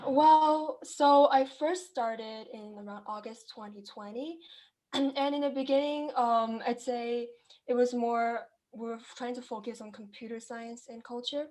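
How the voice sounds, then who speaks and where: A woman's voice: on the high side, steady tone, a little slow, darkish tone, poor audio. A young woman from China, raised in Kenya, answering interview questions in a casual, chatty way with many fillers and restarts. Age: young adult. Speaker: guest entrepreneur.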